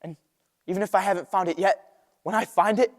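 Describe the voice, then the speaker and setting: Restless young dreamer, played by a teenage male, high-pitched, earnest stage delivery with dramatic pauses, ringing hall. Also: fairly even intonation, close to an everyday voice. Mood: impassioned. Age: teens. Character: restless idealistic young man rejecting a humdrum life. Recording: echoey hall with long reverb.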